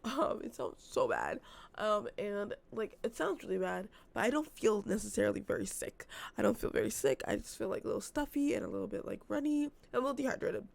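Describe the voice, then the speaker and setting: Vlogger, young woman, hoarse with a very gravelly fry, chatty and rambling, off-the-cuff talk. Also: medium pitch, very animated, brisk. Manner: rambling, filler-heavy, self-described yappy. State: losing her voice, hoarse. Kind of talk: casual unscripted vlog chat. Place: apartment.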